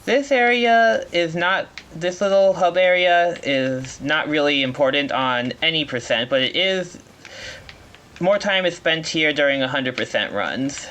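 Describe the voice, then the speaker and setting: A man giving live speedrun commentary, explaining as he plays in a halting way, often rephrasing mid-sentence. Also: fairly high pitch, bright, forceful tone, a little hiss or hum. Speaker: speedrunner playing at a marathon.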